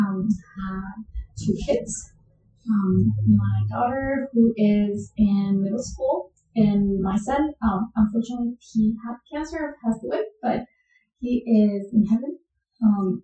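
A woman from Colombia, a health educator, answering interview questions in a measured, thoughtful way with many pauses. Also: mid-range voice, warm tone. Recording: dull, echoey, distant sound.

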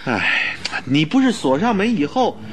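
An actor playing an anxious, loyal friend of a sick detective in a radio drama, speaking earnestly and fretfully. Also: male voice, fairly high pitch, very animated, a little slow. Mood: exasperated.

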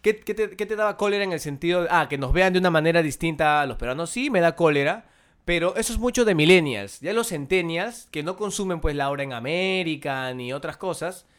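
Peruvian man, casual co-host, higher than usual pitch, animated, stumbles and restarts. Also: brisk, clean tone. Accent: Peruvian Spanish. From Peru. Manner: animated, irritated, repeats words and restarts. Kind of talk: casual chat.

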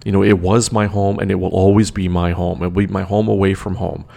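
A man's voice: deep voice, little pitch movement, a little fast, vocal fry, warm tone. A man from Canada hosting his own show, talking emphatically with many fillers and restarts into a desk microphone. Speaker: podcast host. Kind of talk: opinionated solo commentary.

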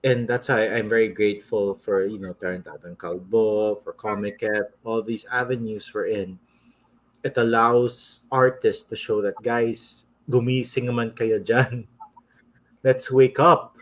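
A man from the Philippines with a Filipino accent, talking in a casual, animated way and mixing English with Filipino. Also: fairly low pitch, a little slow, poor audio. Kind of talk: casual conversation.

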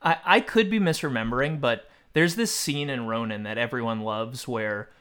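A man's voice: medium pitch, moderate pace, fairly bright tone, clear, resonant tone. A man hosting a podcast film quiz with joking banter and a mock-formal quizmaster delivery. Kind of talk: comic film trivia banter.

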